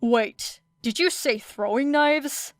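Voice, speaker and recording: A woman's voice: high voice, takes it slowly, dry recording, studio quality, pitched above the performer's own voice. A woman voicing a stern, exasperated man, a hero teacher who speaks in a curt, reproachful way, in an audiobook story. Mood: incredulous.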